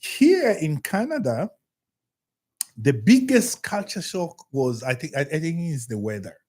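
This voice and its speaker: A man's voice: a little high, unhurried. A man from Kenya whose first language is Swahili, hosting a casual chat and telling his story with lots of repeated words. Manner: animated, repeats words while searching for them. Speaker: podcast host.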